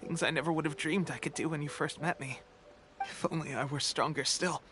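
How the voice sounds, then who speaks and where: An actor playing a weakened, earnest young soldier in a video game, halting and weary, with breaks for breath. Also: male voice, on the high side, fairly even intonation, fairly bright tone, lightly acted. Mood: proud, weary. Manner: halting, hesitant, trailing pauses. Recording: game music under the voice.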